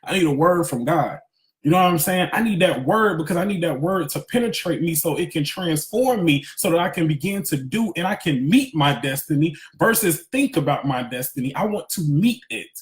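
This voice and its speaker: Echoey, higher-pitched man giving a pep talk. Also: animated, fairly quick. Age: 30s. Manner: emphatic, preacherly, repeats phrases for emphasis; conversational street-smart slang, candid. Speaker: motivational speaker. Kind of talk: casual spiritual pep talk, livestream. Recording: echoey, roomy sound.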